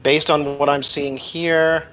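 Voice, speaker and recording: Male game master, casual and sweary, fairly high voice, slightly muffled audio with music behind. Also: flat delivery, slow pace, a bit bright. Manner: colloquial, profane. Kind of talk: casual tabletop game narration and rules talk. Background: background music.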